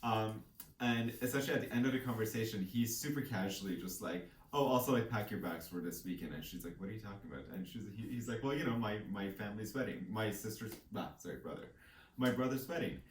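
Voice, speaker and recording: A man with a lowish voice co-hosts a casual book discussion. He retells stories loosely, slipping into quoted dialogue as he goes. The room is very echoey and he sits back from the microphone. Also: quick pace, recorded from a distance, slight background noise. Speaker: co-host of a book discussion show.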